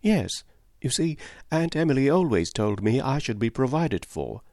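A man's voice: mid-range voice, expressive, normal speed, dark tone, no echo, studio quality, voice pushed up, lightly acted. A male narrator voicing a kind, candid young English woman who talks in an earnest, confiding, slightly plaintive way. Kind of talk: audiobook character voice.

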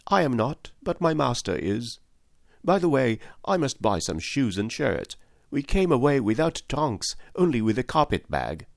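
A man reading an audiobook voices an excitable, voluble French manservant who talks fast and stubbornly. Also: mid-range voice, vocal fry, no echo, studio quality, voice pushed up. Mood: chatty.

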